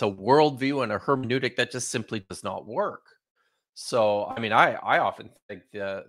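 A lowish, bright and forceful male voice, a scholar in his forties answering questions on a video call. He speaks with careful pauses and frequent restarts, mic close in a book-lined home study. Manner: thoughtful, many restarts and fillers. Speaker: biblical scholar guest. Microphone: studio mic on video call.

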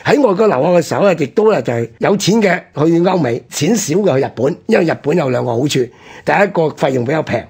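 A man with a Hong Kong Cantonese accent telling history conversationally as a radio host, explaining point by point in a husky, rough-edged voice. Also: a little high, expressive, fast, darkish tone.

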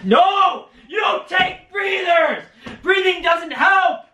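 A man's voice: very high-pitched, expressive, takes it slowly, bright, forceful tone, raised voice, voice pushed up, heavily acted. A man acting as a panicking, hysterical young man who claims he is dying, in a strained, breathless voice for a home prank video. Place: living room, indoors. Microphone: camera across the room. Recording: echoey room, far from camera.

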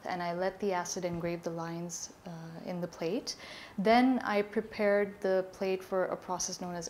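A woman from Pakistan giving a measured, explanatory presentation of her artwork at a gallery talk. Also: mid-range voice, slight background noise. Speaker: artist presenting her work. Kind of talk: prepared presentation. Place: gallery talk space, indoors.

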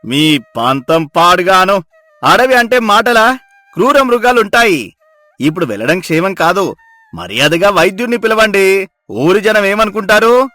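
A man's voice: high voice, big pitch swings, unhurried, sharp tone, no echo, voice pushed up, full character voice. A man playing an alarmed, scolding villager, urgent and exasperated, in a moral story. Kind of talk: dramatized moral story acting.

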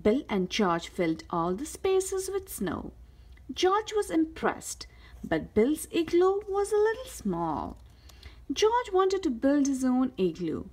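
A woman reading a children's picture book aloud as a storyteller, with careful, expressive delivery. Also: sits high. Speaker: host of a read-aloud story channel.

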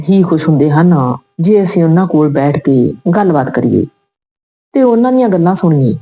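A woman's voice: low-pitched, mellow. A woman narrating a scripted story.

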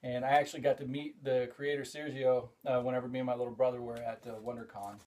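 A man's voice: mid-range voice, little pitch movement, fast talker, darkish tone, low-quality recording. A middle-aged man from the United States hosting a casual vlog, rambling enthusiastically with lots of "uh" fillers as he shows items from his collection, recorded by a camera a few feet away in a room full of collectibles. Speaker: comic collector and show host. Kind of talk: casual show-and-tell vlog.

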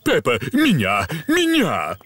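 A man's voice: on the high side, huge pitch swings, slow, fairly bright tone, full character voice. A man voicing a jolly, boastful, paunchy father pig in a children's cartoon. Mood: eager, boastful. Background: music.

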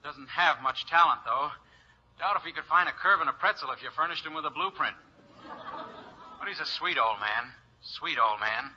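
An actor playing an affable, glib American detective with an easygoing, wry manner in old radio drama style. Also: male voice, on the high side, normal speed, pushed and bright, slightly rough, heavily acted. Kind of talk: 1940s-50s radio acting. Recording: dull old radio recording.

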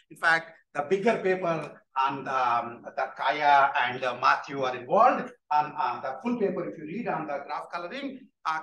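A man giving a keynote lecture, animated and chatty, often checking in with "right?" and telling jokes. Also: sits high, recorded from a distance. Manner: animated, tells jokes, frequent "right?" and "you know". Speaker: keynote speaker, professor. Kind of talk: lecture with audience questions. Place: lecture hall. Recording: echoey hall, speaker off-mic.

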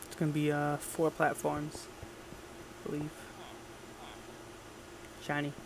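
Gravelly man muttering over gameplay, noisy recording. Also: fairly high pitch, monotone, slow. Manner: offhand, muttering to himself. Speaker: gamer recording audio logs. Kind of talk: casual gameplay commentary.

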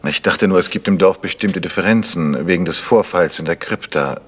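An actor playing a polite, inquisitive amateur detective in a German radio mystery. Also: male voice, low-pitched, moderate pace, fairly bright tone. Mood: probing. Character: probing, courteous amateur detective. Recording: faintly noisy old radio recording.

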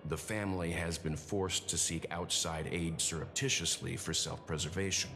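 A man playing a grave, composed investigator and strategist in scripted game dialogue, speaking in a measured, deliberate way. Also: low-pitched, steady tone, gravelly, pushed and bright, exaggerated voice. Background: game soundtrack music.

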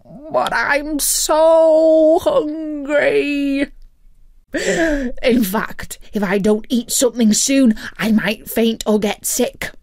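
A woman voicing a greedy, whiny male turkey in a children's story, pleading in a high, wailing voice. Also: drawn-out words, darkish tone, no echo, clean studio sound, heavily acted.

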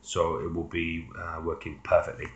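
A man with a low-pitched voice talks through a phone screen demonstration in a casual, unscripted style. He explains as an amateur reviewer would, in a hesitant, halting way. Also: monotone, fairly bright tone, dull audio.

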